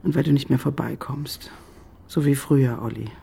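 An actress playing a nosy, jealous middle-aged friend in a radio crime drama, familiar and probing in manner. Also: female voice, extremely low pitch, moderate pace, gravelly, warm tone, breathy edge, full character voice. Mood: jealous, apologetic. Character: nosy, jealous older female friend of the detective.

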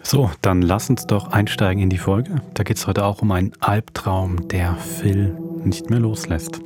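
A man's voice: low voice, unhurried, darkish tone, soft background music. A man hosting a podcast in a casual, conversational style. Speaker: podcast host and producer.

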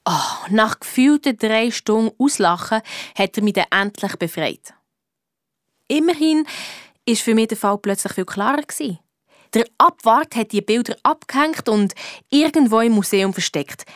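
A woman's voice: a little high, lively intonation, normal speed, no echo, clean studio sound, natural voice. A woman playing a cheeky, stubborn schoolgirl detective in a German audio drama, with a Swiss accent and colloquial storytelling. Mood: eager, confiding.

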